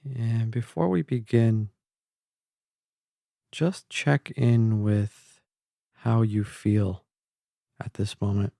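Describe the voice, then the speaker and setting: Male meditation guide: calm, gentle, mellow tone with some creak, recorded close and dry. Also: lowish voice, no room sound. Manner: calm, measured, gentle, with long pauses. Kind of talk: slow guided meditation narration.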